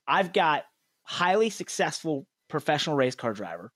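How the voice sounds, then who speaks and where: A fairly high-voiced man hosting a podcast, cracking jokes and breaking into laughter between quick asides. His voice sits close and dry, with almost no room around it. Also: big pitch swings, a bit bright, no echo. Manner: jokey, laughs often, talks in quick asides. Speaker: podcast host. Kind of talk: casual chat.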